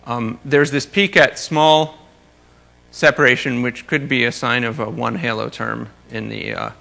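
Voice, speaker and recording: A male scientist lecturing informally, with a creaky, crisp-edged voice at a middling pitch. He qualifies his points carefully and drops in dry, wry asides as he explains. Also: fairly even intonation, a little slow, pushed and bright. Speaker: astronomer giving a research talk.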